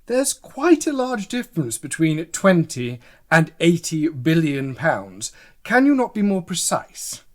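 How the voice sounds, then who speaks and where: A man's voice: a little high, wildly swooping pitch, a little slow, a little mellow. An actor playing a polite, sceptical male news presenter, questioning formally and incredulously in a mock broadcast interview.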